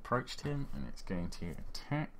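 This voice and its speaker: A man's voice: mid-range voice, big pitch swings, normal speed, fairly bright tone, slight background noise. A man running a tabletop horror game as game master, describing the action blow by blow with sound effects, on a voice call.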